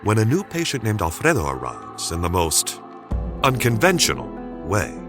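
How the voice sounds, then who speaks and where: Guy doing a dramatic podcast story intro, low voice, big swoops, suspenseful pauses. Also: huge pitch swings. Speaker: podcast host. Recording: voice over prominent music.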